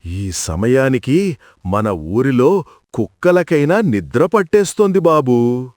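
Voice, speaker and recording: A man's voice: medium pitch, sing-song, takes it slowly, a little mellow, no echo, studio quality. A man voicing an elderly, old-fashioned village father-in-law who grumbles in a cartoon family story. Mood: puzzled grumbling.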